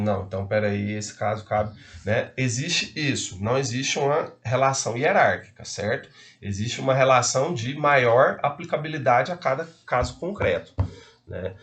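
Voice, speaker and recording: Man, law lecturer; loose, halting delivery, constant tag questions. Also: mid-range voice, lively intonation. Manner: hesitant, frequent fillers and tag questions. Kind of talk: casual spoken lecture.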